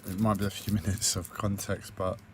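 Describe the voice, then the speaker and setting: A hoarse man records a personal video diary on a tablet, his voice low and flat. He talks hesitantly, full of fillers and restarts. A faint background noise sits under the recording. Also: fairly quick. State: croaky, hoarse voice. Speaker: vlogger.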